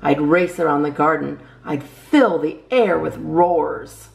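Low-voiced woman reading children's poems aloud. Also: slow, vocal fry, soft background music. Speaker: children's storytime host and reader.

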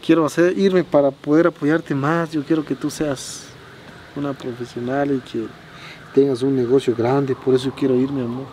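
A man's voice: medium pitch, fairly even intonation, moderate pace, darkish tone, a little hiss or hum, close to an everyday voice. A young man playing an earnest departing boyfriend. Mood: tender. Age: young adult. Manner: earnest, tender. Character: young boyfriend about to leave for abroad. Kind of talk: amateur web drama acting. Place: outdoors in a garden.

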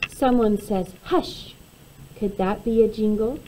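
A woman reading a rhyming children's picture book aloud in an expressive, measured way. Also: mid-range voice, a little hiss or hum, low-quality recording. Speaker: storybook reader.

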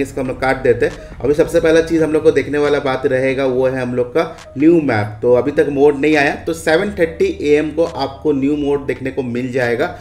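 Casting: Indian male gaming host, mid pitch, casual walkthrough delivery, roomy sound with faint noise. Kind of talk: casual update walkthrough commentary. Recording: echoey room with faint background noise.

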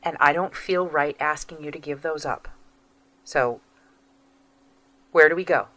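A tired estranged wife, speaking slowly and carefully with a bright, clear edge to her voice. She sounds guarded and worn down, unsure whether she can keep waiting. It is an audio drama performance. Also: sits low, no echo, poor audio. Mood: weary. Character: Maria, estranged wife weighing divorce, weary.

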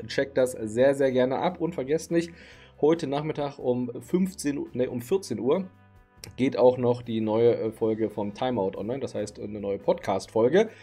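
A man's voice: mid-range voice, warm tone, slightly rough. A man in his thirties hosting a casual card market video from his home streaming room, chatty with lots of fillers, his voice tired late at night. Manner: chatty, frequent fillers, easygoing. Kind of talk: casual market commentary to camera.

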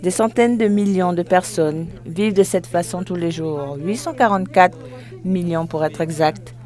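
Interpreter at work, halting phrasing. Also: mid-range voice, a little mellow. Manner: halting, phrase by phrase with self-corrections. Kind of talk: live spoken interpretation of a formal speech.

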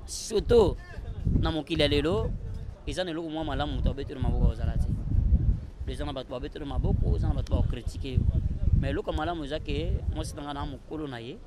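A man's voice: a little high, fast talker. A man in his thirties with a Congolese accent, talking casually as an interview guest. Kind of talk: casual interview talk, switching languages. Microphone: handheld interview microphone. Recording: noisy outdoor handheld-mic recording.

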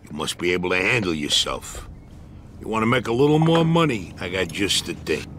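An actor playing a smug, foul-mouthed dockside union boss in a crime video game, with an American accent and a streetwise, chummy, patronizing manner. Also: male voice, low voice, sing-song, a little fast, a bit bright, a little hiss or hum. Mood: persuasive, businesslike. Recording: music behind the voice. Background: background music.

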